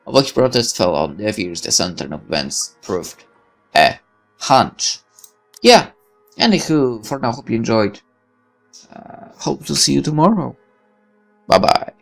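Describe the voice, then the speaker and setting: Male gamer reading visual novel narration: theatrical, very lively pitch swings, gravelly fry, faint music behind. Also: a little slow, pauses often. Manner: theatrical, animated, swearing. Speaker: gaming video host reading narration aloud. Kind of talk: dramatic expressive read-aloud of game narration with asides.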